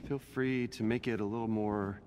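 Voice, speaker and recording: A man playing an awkward, gentle, weary middle-aged mentor in a video game, mumbling and hesitant, with a gravelly voice. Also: lowish voice, steady tone, moderate pace, lightly acted. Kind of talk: naturalistic video game acting. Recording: long reverb, faint background noise.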